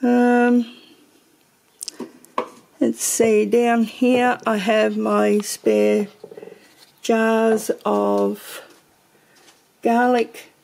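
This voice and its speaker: Woman vlogger, slow and gravelly, mellow tone; casual home pantry tour, filmed handheld. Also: on the high side, level tone. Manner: slow, meandering, thinks aloud with fillers. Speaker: homesteading vlogger.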